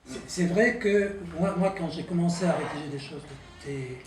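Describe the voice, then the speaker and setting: A man who runs a theatre, speaking in an interview in a halting way and often repeating words. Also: a little high. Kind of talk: reflective interview talk. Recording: roomy distant mic, faint hum.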